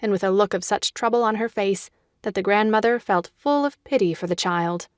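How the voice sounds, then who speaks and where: A woman's voice: mid-range voice, no echo. Female storybook narrator, close and dry. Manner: measured storytelling. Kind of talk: scripted audiobook narration of a children's classic.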